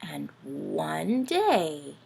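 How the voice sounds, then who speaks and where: A woman's voice: low voice, very slow, creaky. A woman reading and chatting to young children in a playful, sing-song way, often asking them questions. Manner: sing-song, animated, asks the listeners questions. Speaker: children's read-aloud host. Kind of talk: playful read-aloud and chat addressed to young children.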